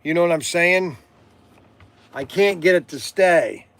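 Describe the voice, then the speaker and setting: Slow-talking man in his sixties, higher-pitched. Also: creaky, fairly bright tone, dry recording. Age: 60s. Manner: halting, repeats himself, stumbles over words. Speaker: livestream host selling hobby toys and model cars. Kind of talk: rambling casual livestream chat.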